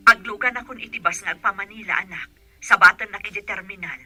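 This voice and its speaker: A woman's voice: mid-range voice, fast talker, pushed and bright, slightly rough. A woman acting a protective, plain-spoken provincial mother in an Ilocano radio drama. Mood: determined.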